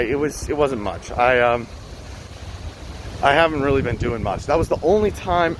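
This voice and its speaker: A young man chatting casually while walking city streets and vlogging, rambling and swearing now and then. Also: mid-range voice. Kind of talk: casual walking vlog talk. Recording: street noise behind.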